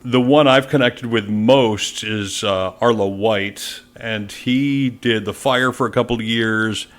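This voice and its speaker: A man's voice: fairly low pitch, takes it slowly, a bit bright. An American man, a sports announcer, chatting casually as a guest in a podcast interview.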